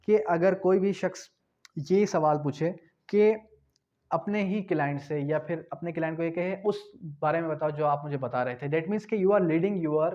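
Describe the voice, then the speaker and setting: Male law tutor, higher voice, drills points through questions; muffled low-fi recording. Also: measured pace, darkish tone. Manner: explains with rhetorical questions and repetition. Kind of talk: exam-prep law lecture. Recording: dull lo-fi recording.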